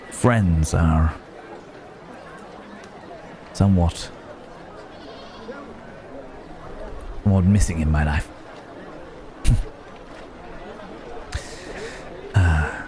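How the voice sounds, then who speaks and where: Troubled gentleman werewolf played by a man, ASMR fantasy roleplay. Low, unhurried, hesitant, antique courtesy. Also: slight background noise. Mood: nervous, warm. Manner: old-fashioned formal phrasing, hesitant, musing. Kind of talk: ASMR roleplay acting, one-sided dialogue. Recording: busy outdoor market ambience behind voice. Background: market crowd ambience.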